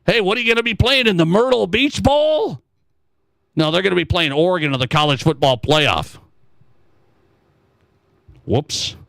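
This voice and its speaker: High-voiced American man on sports radio. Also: lively intonation, moderate pace, gravelly, pauses often, a bit bright, no room sound. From Montana, United States. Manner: emphatic, argues with mocking imagined objections. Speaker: sports talk radio host. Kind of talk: opinionated sports talk monologue.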